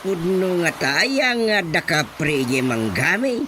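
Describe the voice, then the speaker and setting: Man playing stubborn village father and chairman, Filipino accent, unhurried pace, exclamatory, radio comedy, noisy rumbling track. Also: very animated. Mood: wistful, musing. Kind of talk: Ilocano radio drama comedy acting.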